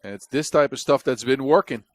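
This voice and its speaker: A man's voice: mid-range voice, brisk, no echo. A man from the Netherlands with a Dutch accent, giving chatty, wry play-by-play basketball commentary on a close mic. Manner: chatty and wry, with jokes between plays.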